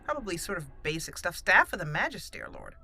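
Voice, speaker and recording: A woman's voice: lowish voice, big pitch swings, a little fast, creaky, bright, forceful tone, slightly rough. A woman hosting a roleplay let's play, chatting and explaining as she plays, with music behind her. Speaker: gaming channel host.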